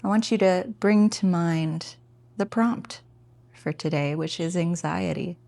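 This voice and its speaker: A woman leads a guided meditation in a low voice with plenty of gravelly fry. She takes her time between phrases and speaks gently and informally, encouraging the listener. The sound is close and dry. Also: unhurried, no echo. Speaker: meditation teacher.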